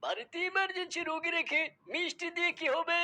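A woman voicing a gullible, impatient, sweet-loving doctor in a comedy cartoon, with a brisk, querulous manner. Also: extremely high pitch, fairly even intonation, pushed and bright, heavily acted. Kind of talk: comedy cartoon character dialogue.

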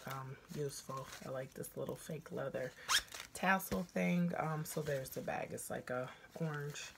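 A woman in her thirties with a raspy voice, chatting frankly through a product review, filmed on a phone at arm's length in a small room. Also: a bass voice, very noisy. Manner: chatty, frank, full of "um" pauses. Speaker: beauty vlogger.

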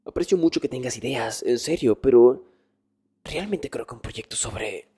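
A man playing an arrogant nerdy young student in an intimate ASMR roleplay, hushed and often dropping into whispers. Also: medium pitch, lively intonation, normal speed, breathy edge. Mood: exasperated. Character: arrogant nerdy young male student, exasperated, teasingly dominant. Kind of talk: Spanish ASMR boyfriend roleplay, intimate one-sided dialogue.

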